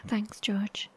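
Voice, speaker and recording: A woman playing a caring, anxious survivors' broadcaster in an audio horror drama. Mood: worried, tender. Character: Jess, caring, anxious female bunker broadcaster.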